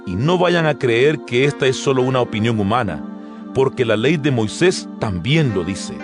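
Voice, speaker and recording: An actor playing an earnest apostle addressing his congregation in a measured, emphatic, didactic dramatized scripture reading. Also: medium pitch, animated, lightly acted. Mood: emphatic, defensive. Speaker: narrator of an audio Bible. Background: background music.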